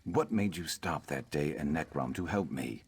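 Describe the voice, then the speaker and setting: A man playing a gruff, loyal Argonian lizard-man in a video game, speaking in a measured way with dry wit. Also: extremely low pitch, expressive, heavily acted. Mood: reflective, grateful. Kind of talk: video game character dialogue.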